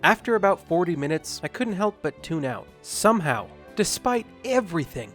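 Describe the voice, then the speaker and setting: A man's voice: high-pitched, big pitch swings, normal speed, fairly bright tone. Sarcastic male reviewer over background music. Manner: sarcastic, emphatic. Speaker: film review host. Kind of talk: scripted review commentary with sarcastic asides. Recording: music behind the voice.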